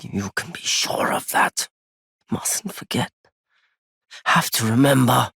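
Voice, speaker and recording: An actor playing a wary, proud nomadic merchant in a video game, with a husky, gravelly voice and a weighty, theatrical delivery. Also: male voice, medium pitch, lively intonation, takes it slowly, pushed and bright, dry recording, studio quality, exaggerated voice. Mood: grim, resolute. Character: wary, courteous nomadic male merchant turned bitter and vengeful. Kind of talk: scripted game character lines.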